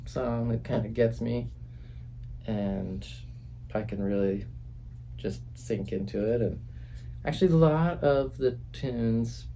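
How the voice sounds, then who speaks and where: A man, a music producer and drummer, talking in a relaxed, halting way in a recording studio about the songs he played on. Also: medium pitch, slight background noise, poor audio. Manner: reflective, halting, many fillers. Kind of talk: casual conversation.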